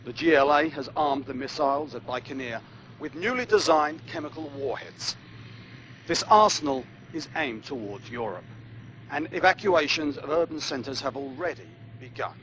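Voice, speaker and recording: An actor playing a serious TV war correspondent in a video game, giving a formal, scripted news report. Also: male voice, fairly high pitch, expressive, moderate pace, a bit bright, slight background noise, poor audio. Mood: grave, formal. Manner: formal, grave newsreader delivery.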